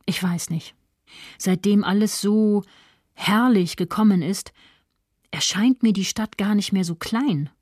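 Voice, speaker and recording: An actress playing a smug, spiteful middle-aged doctor's wife in a 1930s radio monologue, self-important and gossipy. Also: female voice, mid-range voice, a little slow, darkish tone, breathy edge, no room sound, studio quality. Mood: smug rapture. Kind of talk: radio literary monologue reading.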